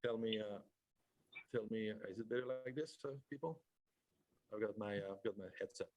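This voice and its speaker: A man's voice: lowish voice, fairly quick, a little mellow, no echo, highs cut off. A man from Quebec with a French Canadian accent, giving a webinar sales presentation on a headset, hesitant with many fillers. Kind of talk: casual presentation.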